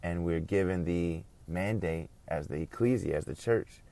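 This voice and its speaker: A man's voice: low voice, normal speed, creaky, dark tone. A man preaching an informal sermon, earnest and reflective, repeating and building on his phrases.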